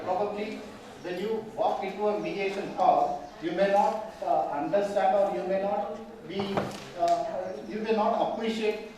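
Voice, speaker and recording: A man's voice: high voice, mellow, far from the mic. A man, teaching a mediation class and often asking the room questions. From Tamil Nadu, India. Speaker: mediation trainer. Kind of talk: classroom lecture. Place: large echoey training hall. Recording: very echoey hall, speaker off mic, faint room hum.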